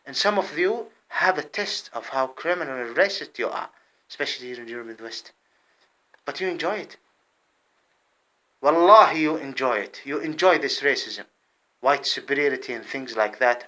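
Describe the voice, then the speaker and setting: A man delivering an impassioned monologue to camera, heated and repetitive, swinging between loud outbursts and low muttering. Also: moderate pace.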